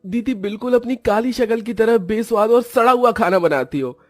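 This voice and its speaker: A man's voice: sits high, moderate pace, no echo, soft background music, pitched above the performer's own voice, lightly acted. A man voicing a sulky, scornful schoolboy brother in a cartoon story, whining and accusing. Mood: angry, whining.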